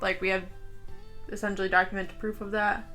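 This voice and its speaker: A young woman from the United States talks through her makeup routine in a loose, rambling way, full of filler words. Her voice has a bright, clear edge, with soft music faintly underneath. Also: mid-range voice, little pitch movement, fairly quick. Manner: chatty, rambling, heavy use of "like" and "um". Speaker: beauty vlogger. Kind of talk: casual get-ready-with-me chat while doing makeup. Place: home, indoors.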